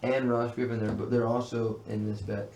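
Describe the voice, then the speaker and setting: A man's voice: lowish voice, little pitch movement, normal speed, darkish tone. A man casually telling stories to his friends in slangy, sweary bro talk. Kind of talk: casual storytelling among friends. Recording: echoey room, clearly noisy.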